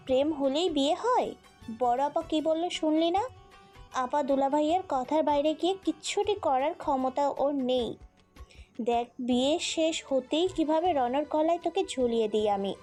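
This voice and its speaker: A middle-aged aunt acted by a woman, jealous, bossy and always scheming. The voice is very high and melodramatic, set over music in an audio drama. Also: mellow. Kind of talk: melodramatic audio story acting. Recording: music behind the voice.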